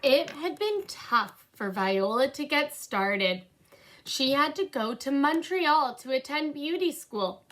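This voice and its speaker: A young adult woman reading a children's storybook aloud in an expressive, storytelling manner, with brief asides. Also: sits high. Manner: animated, clearly paced storytelling. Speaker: storybook reader. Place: indoor room at home by a window.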